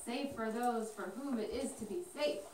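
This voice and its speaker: Woman voicing a gentle turtle dove, high and echoey. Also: normal speed, lightly acted. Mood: welcoming. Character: gentle female turtle dove giving riddling advice. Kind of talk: children's puppet show acting. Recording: distant echoey room with hiss.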